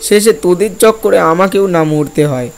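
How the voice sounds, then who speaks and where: A man's voice: sits high, normal speed, creaky, a little hiss or hum. A man playing a timid, superstitious villager in a horror cartoon, speaking fearfully and hesitantly. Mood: frightened.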